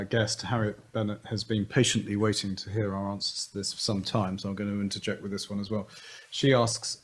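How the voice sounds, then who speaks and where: A man chairing a panel Q&A, brisk and dry-humoured as he passes questions along. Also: on the low side, slight background noise. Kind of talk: moderating a Q&A.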